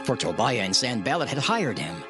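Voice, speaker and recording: A man narrates scripture in the first person, solemn and measured, his pitch moving expressively through each phrase. Music plays clearly underneath him. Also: very animated.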